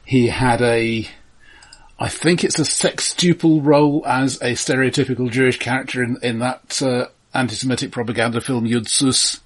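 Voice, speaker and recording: A man with a husky, unpolished voice at an average pitch talks casually about film. His delivery is measured and analytical, and he qualifies his points as he builds them. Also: fairly even intonation. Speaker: film podcast host.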